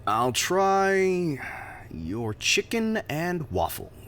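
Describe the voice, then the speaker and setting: A man's voice: on the high side, huge pitch swings, drawn-out words, a bit bright, slight background noise, exaggerated voice. A man playing a polite, wordy drifter who poses as a polished businessman in an audio drama. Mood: polite, halting. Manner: formal, wordy, polite, hesitant openings. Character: sharply dressed drifter posing as polished New York businessman.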